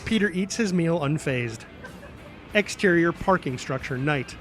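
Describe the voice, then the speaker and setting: A man's voice: on the high side, animated, unhurried, a bit bright, soft background music. A man narrating a screenplay, reading out scene headings and action as a scripted narrator. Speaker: narrator reading stage directions.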